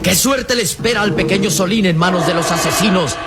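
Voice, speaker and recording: A man's voice: high-pitched, fairly quick. A man with a Latin American Spanish accent narrates an old radio adventure drama in a solemn, suspenseful manner. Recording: radio drama under loud music.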